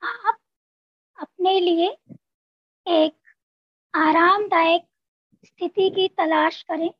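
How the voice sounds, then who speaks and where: Indian woman, very high voice, scripted meditation narration, gentle instructions, long pauses, dull dry recording. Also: fairly even intonation, no room sound. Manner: slow, pausing between short phrases, instructing gently. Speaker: guided relaxation narrator.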